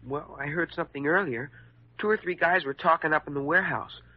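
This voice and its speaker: An actor with an American accent playing a defensive, pleading injured schoolboy in an old-time radio drama, speaking in hesitant boyish slang. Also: male voice, on the high side, brisk, no echo, close to an everyday voice. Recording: muffled vintage radio recording.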